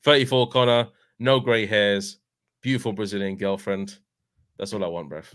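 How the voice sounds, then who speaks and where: London bloke telling a story. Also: male voice, lowish voice, normal speed, dry recording, clean studio sound. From England. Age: 30s. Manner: animated storyteller, lots of filler tags. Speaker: fan channel host. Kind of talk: casual chat.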